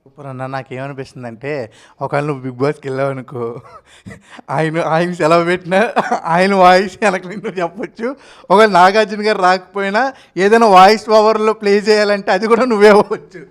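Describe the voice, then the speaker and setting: A woman's voice: fairly low pitch, steady tone, a little fast. A woman interviewing in a chatty, effusive and encouraging way.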